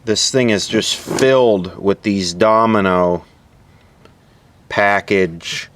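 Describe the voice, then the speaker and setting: Man, deep voice, slow halting delivery, casual matter-of-fact repair demo, picked up on a camera mic with noise behind. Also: a bit bright. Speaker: host of a vintage radio repair video. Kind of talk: casual unscripted demonstration narration. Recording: clearly audible background noise.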